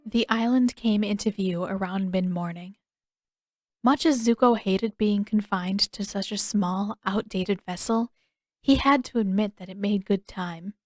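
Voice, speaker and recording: A woman's voice: mid-range voice, unhurried, darkish tone, dry recording, studio quality. A woman reading scripted story narration as an audiobook narrator.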